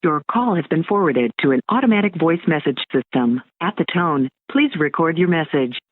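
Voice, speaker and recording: A man voicing an automated voicemail system, formal and neutral. The voice is fairly high for a male and comes through a tinny, narrow telephone line. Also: normal speed. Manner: flat, formal announcement. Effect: telephone filter.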